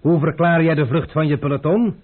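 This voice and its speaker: A man acts an imperious gentleman in an old radio play, coaxing yet quick to turn impatient. The voice is husky and fairly high, on a muffled, narrow-band recording. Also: fairly even intonation, lightly acted. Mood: stern, probing.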